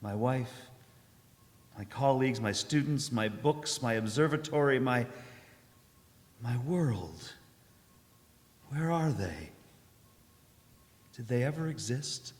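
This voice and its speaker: A man's voice: medium pitch, animated, takes it slowly, long pauses. A man playing a haunted, lonely professor who survived a catastrophe, in a dramatic radio monologue. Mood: despairing. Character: haunted professor, lonely derelict survivor narrating his despair. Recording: reverberant church hall.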